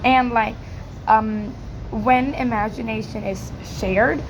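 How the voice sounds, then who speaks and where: Female student presenter, high but croaky voice, slow and hesitant delivery, informal talk over a noisy recording. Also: fairly even intonation. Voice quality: croaky, cracking. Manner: hesitant, many filler likes. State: hoarse from yelling. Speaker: student presenter practising English speaking. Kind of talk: informal spoken question-and-answer presentation.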